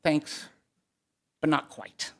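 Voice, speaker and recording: Storyteller putting on a shy, self-doubting young rooster. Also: very slow. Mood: dejected, unsure. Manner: hesitant, then crowing high and loud.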